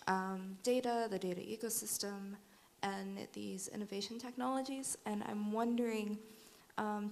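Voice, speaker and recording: Soft-voiced woman, faint background noise. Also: mid-range voice, gravelly. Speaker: student asking a question. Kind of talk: audience question.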